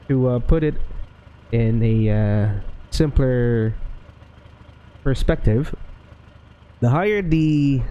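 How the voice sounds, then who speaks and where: A man with a Filipino accent giving relaxed gameplay commentary as a channel host, talking hesitantly with many fillers and restarts. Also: medium pitch. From Philippines.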